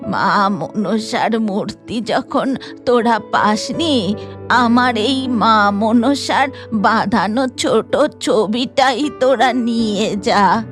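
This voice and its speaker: Cartoon old beggar granny, played by a woman, very slow, drawn-out pleading, gently insistent, music behind. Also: on the high side. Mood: kindly insistent.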